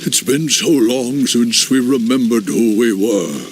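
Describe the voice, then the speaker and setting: A man's voice: mid-range voice, a little slow, slight background noise, natural voice. An actor playing a humble, weary male druid warrior who speaks earnestly.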